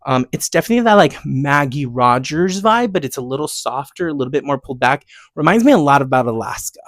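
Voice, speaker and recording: A man's voice: medium pitch, lively intonation, quick pace, fairly bright tone, no room sound, clean studio sound. Chatty, gushing American man hosting a podcast. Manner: gushing, emphatic, rambling with tangents. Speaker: podcast host. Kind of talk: casual chatty solo podcast talk, music recommendations and rants.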